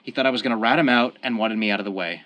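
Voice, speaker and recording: A man's voice: lowish voice, rapid-fire, fairly bright tone, no room sound, lightly acted. A man playing a desperate young family man turned mob killer in a radio crime drama, earnest and defensive. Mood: earnest, regretful. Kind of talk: old-time radio crime drama acting.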